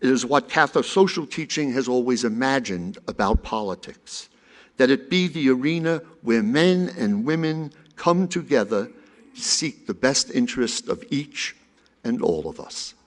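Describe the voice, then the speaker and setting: A man reading a prepared conference lecture with an earnest, expressive delivery. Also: medium pitch, normal speed. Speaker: conference speaker.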